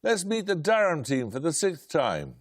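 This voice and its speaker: Brisk, dry-witted quiz host man. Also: a little high, wildly swooping pitch, dry recording. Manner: brisk, dry and wry, precise diction. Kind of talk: formal quiz presenting, reading questions.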